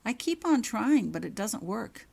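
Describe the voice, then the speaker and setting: Storybook role: young witch pupil played by a woman, small-voiced, polite, earnest, very animated intonation. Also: on the high side, unhurried, gravelly, voice pushed up, heavily acted. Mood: timid.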